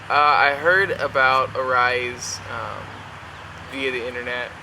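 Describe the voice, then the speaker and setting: A young man from Oklahoma answers casually, pausing and restarting often. His voice stays flat and even. Loud traffic and ambient noise sit under him. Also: mid-range voice. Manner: halting, many fillers and restarts. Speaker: worship internship graduate.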